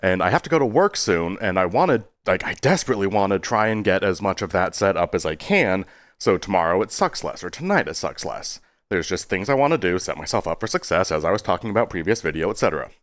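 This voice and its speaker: A man's voice: deep voice, sing-song, fast, fairly bright tone, no room sound, studio quality. A man giving a casual, rambling video update in a self-deprecating way, stopping and starting and slipping into exaggerated tones.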